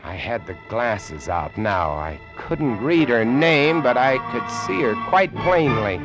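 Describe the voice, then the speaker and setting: An actor playing a lighthouse keeper who narrates a sea horror tale with suspenseful, deliberate delivery in old radio style. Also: male voice, mid-range voice, sing-song, poor audio. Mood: tense, awed. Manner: suspenseful, deliberate, theatrical storytelling. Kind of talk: 1950s radio horror acting, dramatic narration. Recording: vintage radio drama with music underneath.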